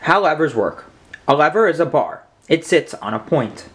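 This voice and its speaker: A man's voice: fairly high pitch, big pitch swings, normal speed, a bit bright, a little hiss or hum. A young man reading aloud and explaining simple science for children as a host.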